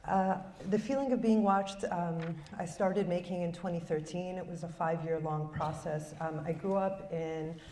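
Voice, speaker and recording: A woman's voice: fairly low pitch, steady tone, normal speed, hardly any pauses, warm tone. A woman filmmaker from Chicago, speaking reflectively with many fillers on a conference panel. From Chicago, United States. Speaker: filmmaker and former public radio journalist. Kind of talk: panel discussion. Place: conference hall. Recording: roomy hall, mic far off, faint noise.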